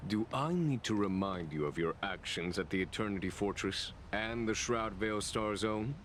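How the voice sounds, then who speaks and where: A man playing a deep-voiced, commanding general. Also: normal speed, a little hiss or hum, lightly acted. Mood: probing. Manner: steady, authoritative questioning. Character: commanding general, calm and probing. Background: game music.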